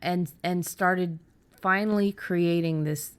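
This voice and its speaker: A middle-aged woman host talking casually and candidly about her own experience, haltingly, as if thinking aloud. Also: on the low side, steady tone, smooth. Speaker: podcast host and fiction writer. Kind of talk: casual conversational storytelling.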